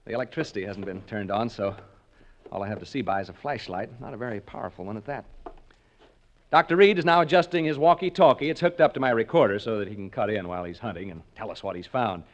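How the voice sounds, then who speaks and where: A man's voice: on the low side, fast talker, natural voice. An actor playing a skeptical, joking male reporter broadcasting a ghost hunt, in 1940s radio acting style. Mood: jokey, chatty. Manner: joking, breezy, chatty with listeners. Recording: dull old 1940s radio recording.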